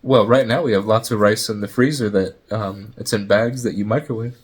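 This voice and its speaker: A person in casual chat, giving calm, measured replies as a conversation partner. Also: medium pitch, breathy edge.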